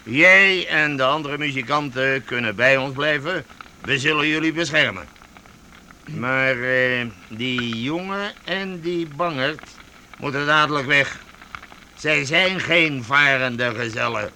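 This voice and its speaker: An actor playing a boisterous, mocking one-eyed vagabond chief with a gravelly voice and pompous, theatrical delivery in a radio play. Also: male voice, mid-range voice, slow pace, pushed and bright, slight background noise, heavily acted. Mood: commanding. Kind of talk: Dutch radio play acting.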